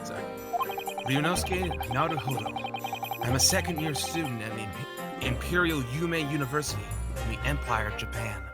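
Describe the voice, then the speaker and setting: A man's voice: medium pitch, animated, moderate pace, over loud music, lightly acted. A man voicing an anxious, hesitant young male student in a video game dub. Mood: anxious, earnest. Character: nervous young male student awaiting judgment.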